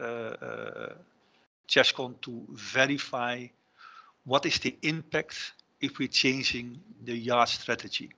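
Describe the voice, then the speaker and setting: A man's voice: mid-range voice, gravelly, bright, forceful tone. A middle-aged man from the Netherlands with a Dutch accent, giving an online conference presentation over a headset on a video call, hesitant with many ums and restarts. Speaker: conference presenter, terminal IT director.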